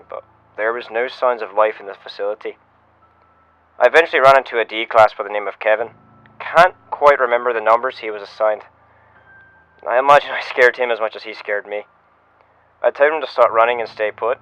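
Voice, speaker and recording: A man playing a shaken security officer, giving a measured first-person incident report as a scripted audio log. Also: on the low side, steady tone, no echo, close to an everyday voice. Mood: tense recounting. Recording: muffled lo-fi radio-style sound, music behind. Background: music.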